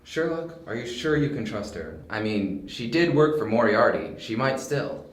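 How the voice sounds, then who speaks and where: A man's voice: medium pitch, very animated, moderate pace, lightly acted. Guy acting skeptical detective sidekick, homemade audio drama, swingy intonation, echoey, plain talker. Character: young detective's male companion, skeptical, straightforward sidekick. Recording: echoey room.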